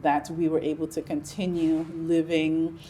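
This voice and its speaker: Nigerian-born woman, deep voice, thoughtful interview talk, lots of ums, bit of background hiss. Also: level tone, dark tone. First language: Yoruba. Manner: reflective, measured, frequent ums and restarts. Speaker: interview guest. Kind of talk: conversational interview.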